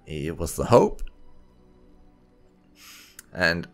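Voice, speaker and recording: A man hosting a roleplay let's play, commenting in a theatrical, wry, drawn-out way. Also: deep voice, big pitch swings. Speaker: gaming channel host. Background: faint music.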